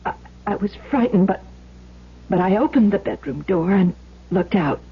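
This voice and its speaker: An actress playing a shaken wife in a 1970s radio drama, halting and hesitant, with a husky, breathy voice. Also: female voice, mid-range voice, expressive. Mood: nervous, halting. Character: shaken, vengeful young wife giving false testimony. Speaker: actor. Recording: dull old radio recording.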